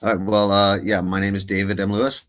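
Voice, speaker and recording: A middle-aged man talking casually as an interview guest, pausing often with fillers and restarts. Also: fairly low pitch, little pitch movement, fairly bright tone, smooth. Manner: hesitant, with many uh fillers and restarts. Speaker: guest filmmaker.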